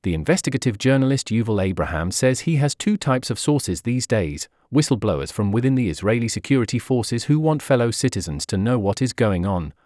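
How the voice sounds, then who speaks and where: A man reads a written news article aloud at a measured, steady pace. His pitch rises and falls in an animated way, and the recording is dry, with no room sound. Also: no room sound. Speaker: article narrator.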